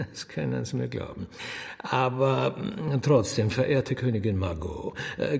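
A man's voice: mid-range voice, creaky, darkish tone. A man playing a fussy, ingratiating demon courtier in a German radio drama, mannered and full of little hums, in a long reverberant space. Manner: mannered, hesitant humming, ingratiating.

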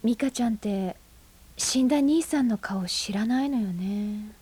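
An actress playing a frank, breezy aunt who teases and speaks bluntly in a radio drama. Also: female voice, fairly high pitch, unhurried, warm tone, breathy edge. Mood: bantering. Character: blunt, teasing independent aunt.

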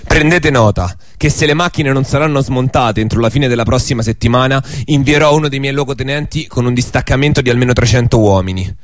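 A man's voice: medium pitch, fast, hardly any pauses, no echo, faint music behind, natural voice. Stern male rebel chief played by an actor, declamatory and menacing, dry radio-drama recording. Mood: stern threat. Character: defiant male rebel leader reading out a threatening manifesto.

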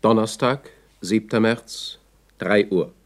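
A man's voice: fairly low pitch, slow. A man as second narrator in a 1960s radio play, tersely announcing scripted times.

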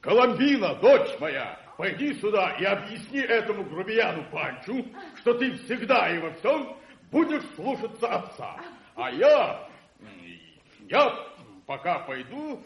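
A pompous, flustered stage father in a radio comedy of amateur theatricals. His high voice swings widely between stammering and declamation, in an echoey room with music. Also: very animated, unhurried, a bit bright, exaggerated voice. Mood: declaiming. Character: flustered amateur actor playing pompous old father Pantaloon. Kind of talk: radio play acting.

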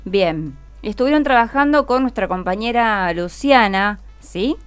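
A woman's voice: mid-range voice, lively intonation, a little slow, clear, resonant tone. A woman with an Argentine accent, hosting a radio show and chatting encouragingly with children through short questions. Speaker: radio host interviewing schoolchildren. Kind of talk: conversational interview. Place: radio studio. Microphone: studio microphone.

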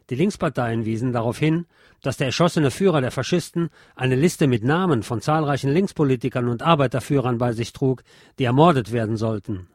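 A man's voice: medium pitch, dry recording. Dry-recorded man narrating history. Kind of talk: scripted historical narration, reading aloud.

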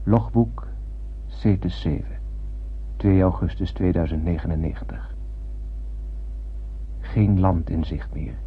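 An actor playing a brooding young spaceship crewman, narrating in a solemn, poetic and measured way in a radio drama. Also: sits low. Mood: solemn, lonely. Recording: muffled, hissy old radio drama recording.

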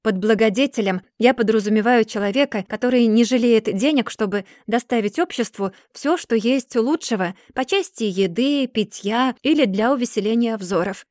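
A woman voicing a distracted, gloomy rich gentleman who mumbles and then rants in a storybook reading. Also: fairly high pitch, moderate pace, few pauses, dry recording, studio quality, lightly acted. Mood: furious ranting. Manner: absent-minded, mumbling, then bursting into furious ranting.

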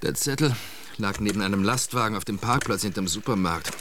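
Man acting a low-voiced, troubled ex-soldier. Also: animated, brisk, background noise, close to an everyday voice. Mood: anxious. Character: troubled ex-soldier, a man who suspects he is watched. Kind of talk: radio drama acting.

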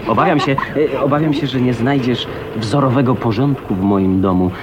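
An actor playing an easygoing, scruffy bachelor bridegroom in a radio drama, jovial and teasing, with hesitant little fillers. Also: male voice, medium pitch, normal speed, lightly acted. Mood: playful. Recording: noisy 1980s radio recording.

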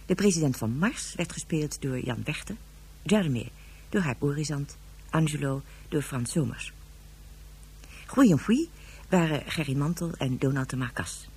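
A female narrator reading the closing credits of a radio play in a measured way. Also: low-pitched, animated, darkish tone, breathy edge. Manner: measured announcing. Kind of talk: announcing radio drama credits.

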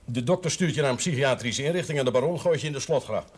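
An actor playing a wry, irreverent village priest with a Dutch accent in a television drama, teasing and ironic. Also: male voice, mid-range voice, fast, slightly rough, natural voice. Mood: dry, wry. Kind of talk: naturalistic television drama acting. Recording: noisy old television soundtrack.

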